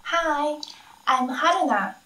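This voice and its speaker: A young Japanese woman, a native Japanese speaker, giving travel tips to the camera in slightly halting English with a smiling, friendly manner. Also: high-pitched, expressive, bright, forceful tone, reverberant, recorded from a distance. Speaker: local guide presenter.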